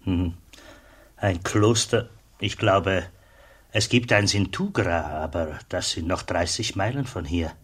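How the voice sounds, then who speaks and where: Kindly border guard for radio play: low husky voice, simple, familiar, devout. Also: male voice, slow, full character voice. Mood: friendly. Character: kindly, plain-spoken, devout border customs officer. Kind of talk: German radio drama acting.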